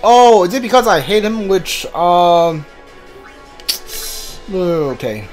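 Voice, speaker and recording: A man's voice: high voice, sing-song, takes it slowly, a little mellow, faint music behind. A man doing live gameplay commentary as a streamer, thinking aloud as he plays. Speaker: game streamer.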